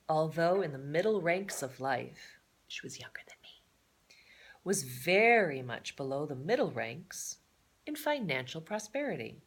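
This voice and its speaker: A woman's voice: sits low, gravelly, breathy edge. A woman reading a children's story aloud in a theatrical way, adding chatty, playful asides. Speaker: storybook reader.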